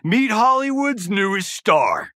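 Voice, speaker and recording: An actor with an American accent playing a cocky, wisecracking Hollywood action star who fights in a video game, delivering swaggering, sarcastic one-liners. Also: male voice, high-pitched, big pitch swings, takes it slowly, sharp tone, dry recording, studio quality, exaggerated voice. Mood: brash, boastful.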